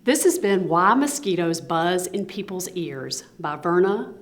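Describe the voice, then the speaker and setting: A woman reading a picture book aloud to children in an expressive storytelling style. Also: lowish voice, a little slow. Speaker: volunteer children's story reader.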